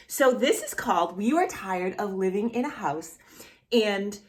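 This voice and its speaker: A woman hosting a read-aloud storytime for young children, speaking in an animated way as if straight to the child listening. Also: mid-range voice. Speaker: children's storytime host reading a picture book aloud.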